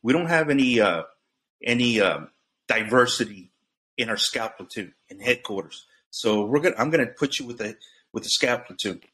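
Bright-voiced man telling stories haltingly. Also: mid-range voice, expressive. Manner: rambling, halting, many restarts and fillers. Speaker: guest. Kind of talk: casual storytelling.

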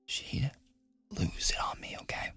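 A man playing a smitten, insistent young man in a boyfriend ASMR roleplay, speaking softly and haltingly, at times in a breathy, coaxing whisper. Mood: hushed, urgent.

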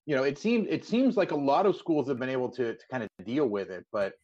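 A man's voice: medium pitch, quick pace, mellow. American man hosting, slightly dull audio. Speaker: sports podcast host. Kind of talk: conversational interview.

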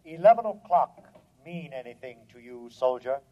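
A man playing an imperious telepathic alien robot in an old-time radio drama, speaking formally and deliberately. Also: mid-range voice, lively intonation, close to an everyday voice. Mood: cold, imperious. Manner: formal, measured, deliberate speech. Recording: dull vintage radio recording.

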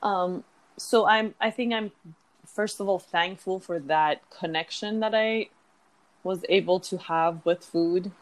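A woman's voice: fairly low pitch, slow, rough recording. A woman from Iran, a dietitian, talking reflectively about her career and food culture in an interview. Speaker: dietitian guest. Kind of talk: conversational interview.

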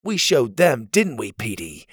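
A man's voice: medium pitch, huge pitch swings, unhurried, a bit bright, slightly rough, dry recording, studio quality, exaggerated voice. A man voicing a brave, cocky young boy hero who talks proudly and boldly. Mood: indignant. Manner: proud, bold and boastful.

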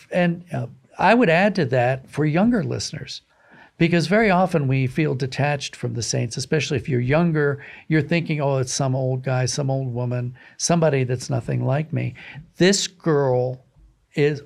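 A man's voice: fairly high pitch, moderate pace, vocal fry. A man speaking as a guest author in an interview, reflective and emphatic in a conversational way. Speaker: guest, author of audio dramas and books.

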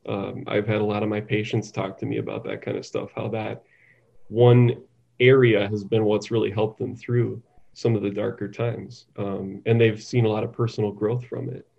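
A man speaking as an interview guest, thoughtful and halting, often repeating words as he talks conversationally. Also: fairly low pitch, creaky, dark tone, muffled recording. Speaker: interview guest, psychiatric nurse practitioner.

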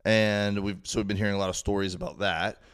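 A man from Miami, a guest on a podcast, with a fairly low voice. He chats eagerly and trips over his own words as he piles on the details of a story. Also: little pitch movement, fast, clear, resonant tone. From Miami, United States. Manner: chatty and eager, tells cooking stories with restarts. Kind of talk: casual podcast chat.

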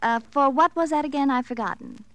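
An actress playing a flippant, sardonic nightclub dancer in an old radio crime drama, theatrical and arch. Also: female voice, sits high, a little fast, a little hiss or hum, close to an everyday voice. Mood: coolly flippant. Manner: theatrical, mock-breezy, arch.

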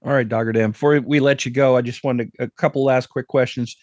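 A man's voice: mid-range voice, fairly even intonation, brisk, a little mellow, no echo. Chatty male podcast host, dry sound. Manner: upbeat, chatty interviewer. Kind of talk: interview and podcast chat.

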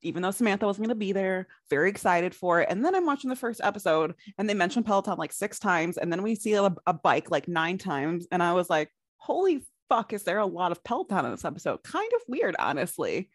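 A woman's voice: medium pitch, a little fast, few pauses, no echo, studio quality. A woman co-hosting a podcast, chatting casually and telling stories with some swearing. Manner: chatty, swears, animated storytelling. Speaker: podcast co-host.

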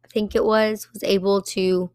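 A hoarse woman hosting a solo commentary podcast, talking conversationally with frequent fillers and pauses. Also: medium pitch, little pitch movement, darkish tone, dry recording, clean studio sound. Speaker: podcast host.

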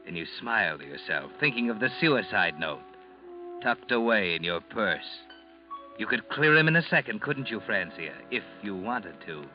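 An actor playing a sardonic, taunting narrator who speaks straight to the heroine in an ominous, dramatic old-time radio style. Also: male voice, lowish voice, big pitch swings, normal speed, faint music behind. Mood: sly, taunting. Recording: muffled old radio recording.

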